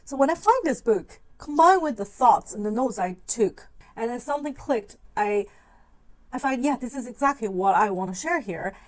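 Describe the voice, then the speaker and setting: A woman's voice: medium pitch, rough recording. A woman who speaks English as a second language, hosting a video essay in an informal, conversational way and acting out her own thoughts. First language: not English. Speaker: video channel host. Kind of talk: talking-to-camera video essay.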